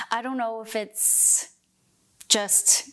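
A young Canadian woman, a community theatre actor, talking thoughtfully as an interview guest with frequent pauses and restarts. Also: fairly high pitch, steady tone, sharp tone. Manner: thoughtful, hesitant, many restarts and ums. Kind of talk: casual interview chat. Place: living room set with couch.

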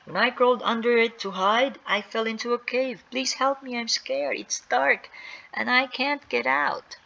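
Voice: mid-range voice, steady tone, moderate pace, gravelly, pushed and bright, dull audio, voice pushed up. A storyteller voicing a frightened young girl pleading in a children's story. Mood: scared, pleading.

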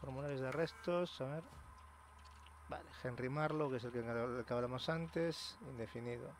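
A man's voice: mid-range voice, normal speed, a little hiss or hum. A man from casually commenting a horror game playthrough, joking and reading screens aloud. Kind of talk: casual let's play commentary.

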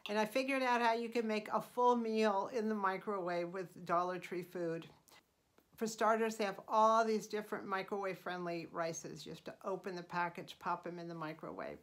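A middle-aged woman hosting her own channel, talking through casual tips in an unhurried, chatty way with frequent ums and uhs. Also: mid-range voice, fairly even intonation. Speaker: channel host. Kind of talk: casual vlog voice-over.

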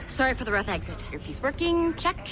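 A woman's voice: sits high, very animated, moderate pace, background noise. An actress playing a blunt, wry female security contractor in a video game cutscene. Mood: brisk. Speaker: game character.

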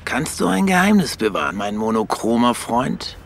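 An actor playing a bossy, secretive penguin commando leader in a cartoon radio play, clipped and conspiratorial. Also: male voice, medium pitch, big pitch swings, moderate pace, gravelly, a bit bright, a little hiss or hum. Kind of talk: animated film radio play.

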